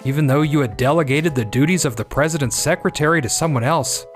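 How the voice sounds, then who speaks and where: An actor playing a shrewd, blunt company boss in a manga dub, with a pointed way of talking. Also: male voice, fairly high pitch, fast, soft background music, lightly acted. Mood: approving.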